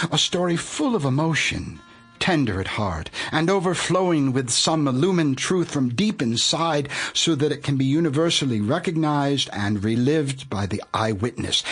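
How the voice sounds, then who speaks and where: Animated, husky man narrating grandly. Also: medium pitch. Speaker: narrator and audiobook presenter. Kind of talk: scripted introductory narration.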